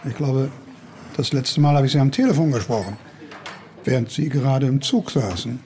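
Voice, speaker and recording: A male actor plays a cynical older academic in a radio play. He has a mid-pitched, creaky voice and holds forth with pompous weariness. A faint noise sits behind him. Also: normal speed, darkish tone, lightly acted. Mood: grumbling. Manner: pompous, world-weary, declamatory. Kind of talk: German radio play acting.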